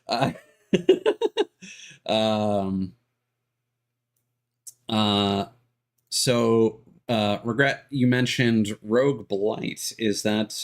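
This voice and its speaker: A man's voice: fairly low pitch, vocal fry. A man livestreaming a video game and chatting casually with viewers, hesitant and rambling, often trailing off. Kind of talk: casual chat with stream viewers.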